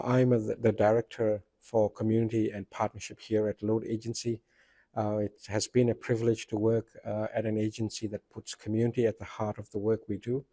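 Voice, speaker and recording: A man's voice: on the low side, a little mellow, dry recording. A man from Myanmar, an agency director, speaking at a panel with many hesitant fillers. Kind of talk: speech at a panel event.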